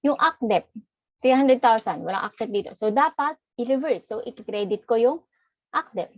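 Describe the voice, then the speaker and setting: A woman's voice: on the high side, expressive, moderate pace, darkish tone, no room sound, muffled recording. A woman with a Filipino accent lecturing informally, explaining worked examples and switching between Tagalog and English. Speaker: accounting lecturer.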